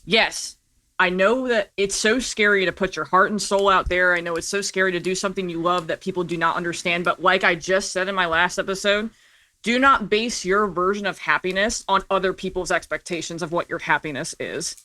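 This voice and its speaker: A woman's voice: lowish voice, steady tone, a little fast, sharp tone, dry recording. A woman speaking as a podcast guest in casual conversation, earnest and encouraging, stressing her points firmly.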